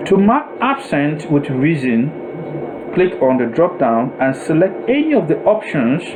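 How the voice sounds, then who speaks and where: A man narrating a step-by-step software tutorial in a deliberate, instructional way, repeating and rephrasing himself as he goes. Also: fairly high pitch, sing-song, background noise.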